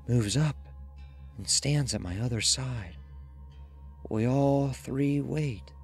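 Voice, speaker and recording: A man voicing a dying, dread-filled man in a horror audio drama, faltering and drawing out his words as if in a trance. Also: medium pitch. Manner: slow, faltering, drawn-out.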